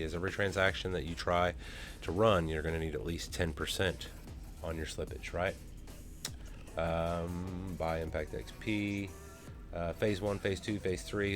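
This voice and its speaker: A man, casually talking through a crypto project on a screen share with many ums and uhs. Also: low-pitched. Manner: rambling, many fillers. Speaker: crypto vlogger.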